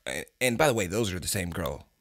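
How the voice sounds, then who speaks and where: A man's voice: deep voice, sing-song, fast, heavy background noise. A man hosting a comedic radio show with casual banter.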